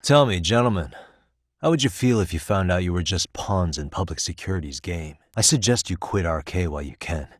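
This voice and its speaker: Video game private eye, thirties, deep register, level-headed, businesslike and determined, dry studio sound. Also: male voice, expressive, fairly quick, no echo. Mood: stern warning. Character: shrewd, level-headed male private detective in his thirties. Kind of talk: English video game voice acting.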